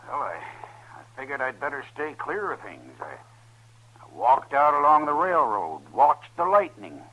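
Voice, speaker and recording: An actor playing a proud, middle-aged colonel and father in an old-time radio western, halting yet dignified. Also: medium pitch, big pitch swings, bright, forceful tone, exaggerated voice, poor audio. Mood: anxious.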